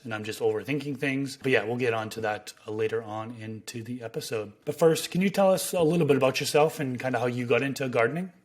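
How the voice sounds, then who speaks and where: A man in his thirties hosting a gardening podcast from a home room on a boom-arm studio mic, his voice hoarse and a bit raspy, reading sponsor spots in an upbeat way. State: hoarse, slightly raspy from smoke. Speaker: podcast host. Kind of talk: casual podcast chat and sponsor reads.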